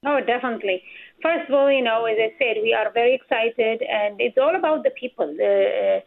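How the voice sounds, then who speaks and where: A woman's voice: fairly high pitch, vocal fry. Earnest woman on a tinny phone line. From Ethiopia. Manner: earnest, with frequent pauses and fillers. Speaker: political candidate. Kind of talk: interview answers.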